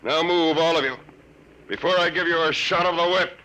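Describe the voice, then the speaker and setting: An actor playing a gruff, contemptuous imperial sergeant in a 1950s radio drama, brusque and commanding. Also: male voice, fairly high pitch, fairly even intonation, normal speed, natural voice. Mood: gruff, bullying. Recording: dull, noisy old radio recording.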